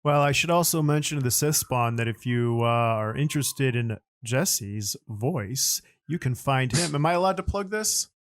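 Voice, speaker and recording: A man's voice: medium pitch, lively intonation, normal speed, few pauses, dry recording, clean studio sound. A man hosting a podcast, interviewing guests in a casual conversational style. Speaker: podcast host.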